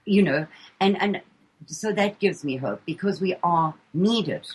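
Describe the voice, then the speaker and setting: A low-pitched woman with an English-leaning South African accent talks as a guest on a radio show. Her voice is husky. She speaks earnestly, with many ums and repeated words as she finds her way. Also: animated, unhurried, low-quality recording. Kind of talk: casual radio interview chat.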